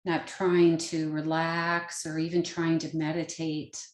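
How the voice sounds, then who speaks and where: A woman leading a guided meditation as a teacher, speaking gently in short, unhurried phrases with pauses between them. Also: low voice, completely flat delivery. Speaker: yoga nidra meditation teacher. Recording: roomy, echoing sound.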